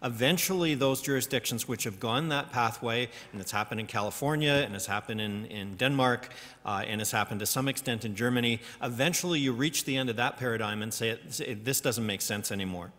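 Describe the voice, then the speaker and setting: A man answers questions from the floor at a conference, explaining in a measured way with the odd hesitation. His voice sits in a middle range. The sound carries the long reverb of a large hall. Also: medium pitch, fairly bright tone. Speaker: conference panel speaker. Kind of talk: answering audience questions on a panel.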